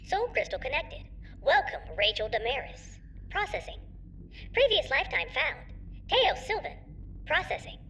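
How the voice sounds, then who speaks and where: A woman's voice: high-pitched, sing-song, moderate pace, pauses often, sharp tone, heavily acted. A woman voicing an ancient computer that makes formal, clipped announcements in a science-fantasy audio drama. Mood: procedural. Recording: distant sound, faint background noise.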